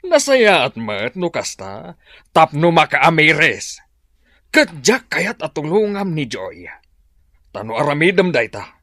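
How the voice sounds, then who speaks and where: A man's voice: a little high, huge pitch swings, slow, bright, forceful tone, no room sound, studio quality, exaggerated voice. A man playing a stern, bitter father in a drama, scolding and dismissive. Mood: scornful. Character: stern, bitter father fed up with his child.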